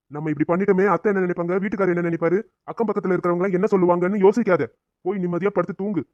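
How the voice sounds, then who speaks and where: A man's voice: high-pitched, no room sound, natural voice. A man voicing a kind, brotherly auto-rickshaw driver who scolds and reassures his friends. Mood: gentle advice. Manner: brisk, big-hearted scolding. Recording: slightly dull, dry.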